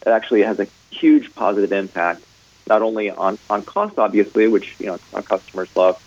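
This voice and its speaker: A man's voice: on the low side, fairly even intonation, a little fast, a little mellow, dull audio. A man speaking as a radio panel guest, explaining things in an agreeable, conversational business tone.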